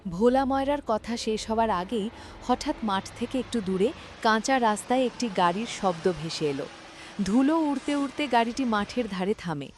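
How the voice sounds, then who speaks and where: A woman's voice: a little high, expressive, moderate pace, a little hiss or hum. A woman narrating a cartoon story from a script.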